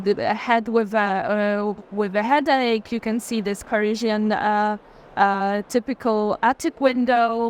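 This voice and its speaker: A woman explaining artworks informally as a tour guide, hesitant and pausing between short phrases. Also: on the high side, a little hiss or hum. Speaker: gallery guide presenting artworks. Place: art fair booth, walking tour.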